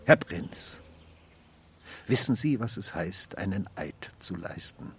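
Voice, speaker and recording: Insistent, condescending police inspector. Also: male voice, sits low, lively intonation, slow, vocal fry, a little hiss or hum, heavily acted. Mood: probing, condescending. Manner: probing, insistent, swinging between quiet and loud. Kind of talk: radio drama acting.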